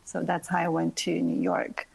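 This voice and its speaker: A Japanese-born woman ballet dancer in her thirties, speaking English as a second language in an interview, soft-spoken with frequent pauses and light laughter. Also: fairly low pitch, completely flat delivery, gravelly, slightly rough, poor audio. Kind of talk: interview, answering questions.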